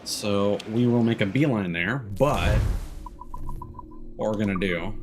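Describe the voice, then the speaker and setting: Low voiced guy streaming a game, casual chat, some music in the back. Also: slow pace. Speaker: live streamer. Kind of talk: casual chat over gameplay.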